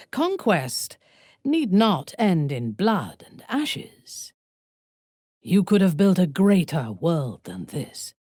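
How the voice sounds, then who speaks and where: Proud sardonic exiled warrior lady from a video game, very expressive swings, dry close recording. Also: on the low side, dry recording, heavily acted. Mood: cool, imperious. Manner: haughty, formal, deliberate phrasing. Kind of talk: video game character voice lines.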